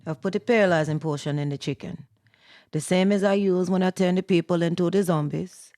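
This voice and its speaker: A woman acts out a voodoo priestess well over a hundred years old. Her voice sits on the low side, recorded dry. She is stern and blunt, scolding in clipped broken English for a campy horror-comedy film. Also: steady tone, fairly quick, darkish tone, no room sound, lightly acted. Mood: brusque. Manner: curt, scolding, broken English with tag questions.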